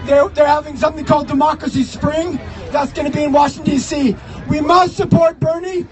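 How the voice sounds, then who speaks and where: A man giving an impassioned, declamatory political speech as an activist, shouting to a crowd outdoors. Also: very high voice, level tone, fairly quick. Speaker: activist rally speaker. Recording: outdoor live recording with crowd and music.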